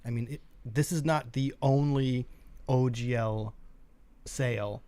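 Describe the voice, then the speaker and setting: Male co-host, medium pitch, skeptical and blunt, casual opinion commentary, very dry sound. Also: fairly even intonation, slow, dark tone, dry recording. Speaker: co-host of a tabletop gaming news show.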